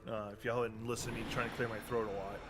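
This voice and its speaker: A man streaming a game, talking casually through menus with lots of ums and restarts, his voice going hoarse. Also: fairly low pitch, little pitch movement, quick pace, very noisy. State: losing his voice. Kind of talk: casual live-stream commentary.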